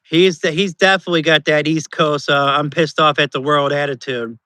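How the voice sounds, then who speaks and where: Bright, higher-voiced man rambling. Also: steady tone, brisk, dry recording. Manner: rambling, laughs often. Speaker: guest streamer. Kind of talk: casual livestream chat.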